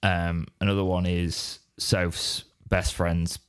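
An English man with a deep, raspy, hoarse voice from straining it, rambling in casual podcast chat. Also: little pitch movement, takes it slowly. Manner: rambling, restarts mid-sentence. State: hoarse after straining his voice. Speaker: podcast co-host.

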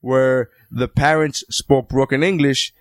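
A man's voice: medium pitch, fairly even intonation, slow pace, no room sound. A young man in casual chat, rambling and swearing as he retells stories about his dad.

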